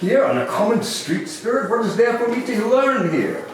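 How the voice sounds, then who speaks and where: A man's voice: very high-pitched, sing-song, moderate pace, soft background music. A man playing a remorseful, pleading old miser in an amateur stage reading. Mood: fearful, humble. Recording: heavily echoing room.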